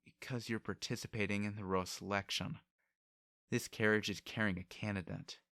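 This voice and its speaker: A man's voice: lowish voice, steady tone, moderate pace, fairly bright tone, no echo, studio quality. A man voicing a stubborn, anxious young commoner who speaks informally and bluntly in an audiobook. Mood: earnest.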